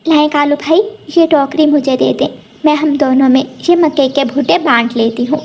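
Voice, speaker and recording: A woman voicing a gentle, trusting mother sparrow in an animated children's story. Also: sits high, moderate pace, creaky, a little hiss or hum. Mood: polite. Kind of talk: animated Hindi moral story dialogue.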